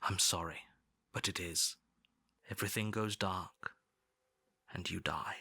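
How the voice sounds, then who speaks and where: A man playing a stern, snippy game narrator, speaking in a measured, articulate and condescending way. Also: low voice, fairly even intonation, creaky, pushed and bright, husky, full character voice. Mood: grave. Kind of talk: theatrical scripted narration. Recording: background music under the voice.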